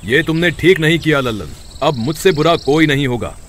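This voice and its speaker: A hot-tempered village farmer acted by a man for a cartoon. He speaks quickly and dramatically with lively swings in pitch, over faint noise. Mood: furious threats. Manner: forceful, dramatic. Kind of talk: Hindi cartoon voice acting.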